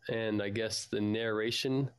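A man's voice: on the low side, steady tone, unhurried, smooth. A man hosting a fan podcast in a casual, informal and chatty way.

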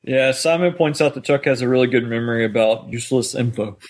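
Male podcast co-host, mid-pitched voice, casual fan-discussion delivery. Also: fast. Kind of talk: casual discussion of an audio drama.

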